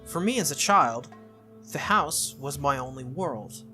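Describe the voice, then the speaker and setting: Male game playthrough narrator, expressive read-aloud, bright tone, music underneath. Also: mid-range voice, unhurried. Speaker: playthrough narrator reading a visual novel aloud.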